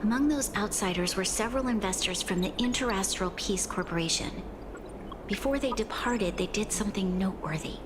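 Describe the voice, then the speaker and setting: A woman's voice: mid-range voice, brisk, natural voice. A woman voicing a dignified, burdened young ruler in a video game, formal, grave and sincere. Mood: grave, troubled. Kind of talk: scripted video game dialogue. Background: game music.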